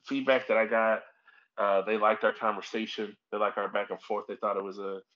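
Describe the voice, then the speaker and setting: Man, midwestern american accent, podcast host, lowish voice, dull lo-fi sound. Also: a little fast. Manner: relaxed, rambling, many fillers. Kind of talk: casual conversation. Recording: dull lo-fi audio.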